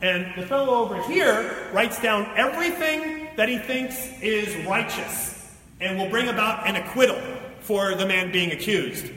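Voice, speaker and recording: Male preacher, high-pitched, colloquial storytelling, teaching with asides, long hall echo, distant from mic. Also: bright, forceful tone. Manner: informal, colloquial, explanatory asides. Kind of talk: sermon, conversational storytelling and teaching. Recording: echoey hall, distant mic, faint noise.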